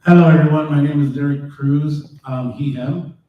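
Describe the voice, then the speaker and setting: A man's voice: fairly high pitch, fairly even intonation, moderate pace, phone-quality sound. A man dancer from Chicago introducing himself on a panel, cheerful and joking. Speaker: professional dancer. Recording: echoey room from far off.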